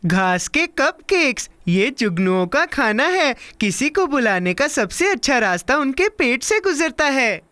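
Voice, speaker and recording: A woman voicing an eager, dramatic little boy in a children's cartoon dub, with a childlike, expressive delivery. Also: high voice, unhurried, hardly any pauses, heavily acted. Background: music.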